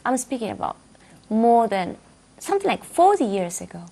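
Gravelly-voiced woman in a studio interview. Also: a little high, big pitch swings, moderate pace, warm tone. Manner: thoughtful, repeats words while searching for phrasing. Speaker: musician guest. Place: dark television studio, seated.

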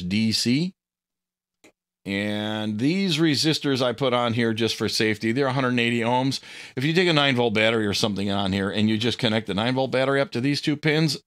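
A middle-aged man giving a casual, hands-on tutorial, rambling a little with informal asides. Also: mid-range voice. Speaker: presenter of a hobby electronics tutorial.

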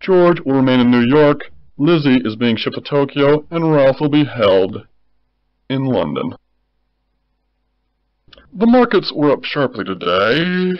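Casting: bumbling, formal TV anchor for a cartoon , male actor, lively intonation, dry muffled sound. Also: mid-range voice, a little slow, pauses often, dry recording. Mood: formal announcing. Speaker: news anchor. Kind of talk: news anchor delivery. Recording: dull, band-limited TV audio.

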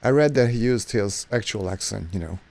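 A man in his thirties from Hungary with a Hungarian accent, chatting excitedly and correcting himself as he reacts to an old film at a studio mic. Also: lowish voice, brisk, creaky. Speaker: movie reaction host. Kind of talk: casual reaction commentary. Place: small dark home studio. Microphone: studio mic on boom arm, headphones.

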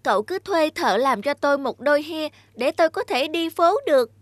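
A woman's voice: sits high, expressive, normal speed, no echo. A woman voicing a clever, confident talking cat in a fairy-tale cartoon, persuasive and polite with a theatrical manner.

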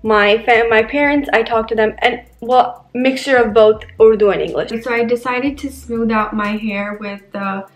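A woman's voice: on the high side, fairly even intonation, moderate pace, hardly any pauses. Chatty young woman vlogging in an echoey bedroom. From New York. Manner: chatty and candid, many fillers. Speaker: lifestyle vlogger. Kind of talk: casual vlog chat to camera. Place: bedroom indoors.

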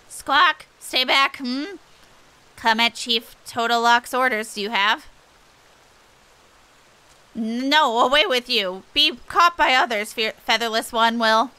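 A woman's voice: a little high, slow pace, long pauses, sharp tone, smooth, pitched below the performer's own voice, rough recording. A woman voicing an injured, wary bird-man engineer from a fantasy game, grudging and speaking in inverted word order. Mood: alarmed, wary.

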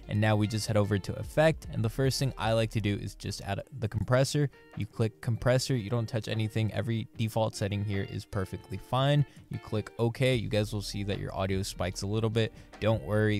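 A man's voice: lowish voice, a little mellow. Upbeat young man on a close podcast mic. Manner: upbeat, chatty, addresses viewers directly. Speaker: YouTuber teaching audio editing. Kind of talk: casual tutorial. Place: small dim bedroom studio. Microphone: podcast mic on boom arm, close.

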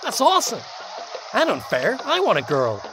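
A man's voice: high-pitched, wildly swooping pitch, moderate pace, noisy background. An actor playing a brash, jokey young male student in a radio drama.

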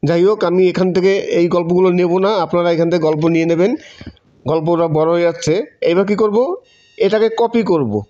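Higher-voiced Bengali man, dull muffled recording. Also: fairly even intonation, moderate pace, mellow. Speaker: tutorial presenter. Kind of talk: step-by-step screen tutorial narration.

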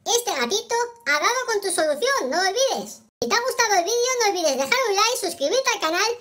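A performer voicing a perky young cartoon tomcat who playfully teaches kids in Spanish from Spain, with a squeaky, childlike voice. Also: sing-song, brisk, bright, forceful tone, heavily acted. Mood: cheerful, instructive. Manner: playful, instructive, addressing kids. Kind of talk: children's game video narration.

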